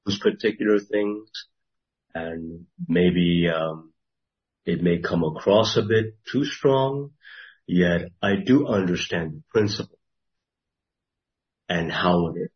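Deep-voiced man, slow and halting. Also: lo-fi sound. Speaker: church member in discussion. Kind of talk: personal testimony in group discussion.

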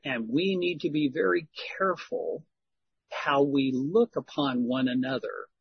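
A man leading a church Bible discussion in a slow, earnest, pastoral way. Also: on the high side, gravelly, lo-fi sound, a little hiss or hum. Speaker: Bible study leader.

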